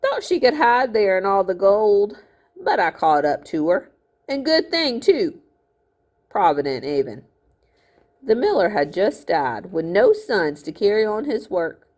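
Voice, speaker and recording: A woman's voice: medium pitch, very animated, measured pace, a little mellow, pitched above the performer's own voice. A woman voicing a greedy, fat older miller in a storybook, a smug villain with a falsely genteel, gloating manner. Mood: smug, mocking.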